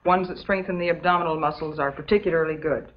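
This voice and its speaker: An actress playing a calm, motherly substitute teacher with an American accent, giving a measured, patient lesson in an old educational film. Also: low voice, natural voice. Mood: patient, instructive. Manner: measured, patient, instructional, prim. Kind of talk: scripted classroom lecture, old educational film. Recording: dull, muffled old educational film soundtrack.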